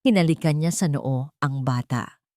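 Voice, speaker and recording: A woman storyteller reading a scripted, dramatic narration with measured phrasing. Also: sits low, a little mellow, no echo, clean studio sound.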